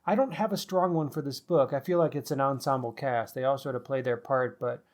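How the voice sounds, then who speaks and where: Mid-pitched man in casual book discussion. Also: a little fast. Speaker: podcast co-host.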